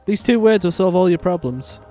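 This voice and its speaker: A man voicing a good-natured, sensitive warthog with a slow, earnest delivery in a story reading. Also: sits high, animated, mellow, soft background music, voice pushed up. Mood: cheerful. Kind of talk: dramatic fan fiction reading.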